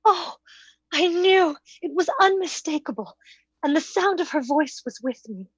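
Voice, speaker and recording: A woman playing a high-voiced, earnest young heroine. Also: animated, measured pace, no echo, highs cut off. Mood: wondering. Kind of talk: old-time radio drama acting.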